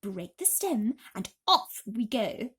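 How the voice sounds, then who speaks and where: A woman voicing a kind, gentle female ladybird from a storybook, reassuring and emphatic. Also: on the high side, sing-song, slow pace, slightly rough, full character voice. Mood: explaining, reassuring.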